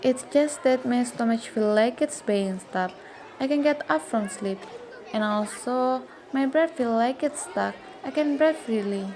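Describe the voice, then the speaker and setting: A woman's voice: on the high side, a little slow, darkish tone, some chatter behind, slight background noise, poor audio. A woman playing a meek, frightened bullied schoolgirl in a student radio drama, with earnest, stilted delivery. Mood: weak.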